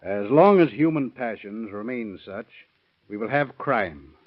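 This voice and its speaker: A man's voice: on the low side, animated, measured pace. A man giving a stiff, solemn scripted address as a sheriff, on a muffled 1930s radio recording. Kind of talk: scripted formal speech.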